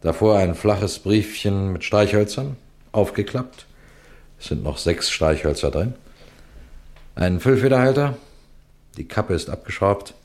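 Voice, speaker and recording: An actor playing a shrewd, composed senior police detective in a radio crime play, speaking in a deliberate, courteous, instructive way. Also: male voice, lowish voice, animated, a little mellow. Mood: probing, musing. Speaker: detective and narrator addressing listeners. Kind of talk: scripted German radio crime drama.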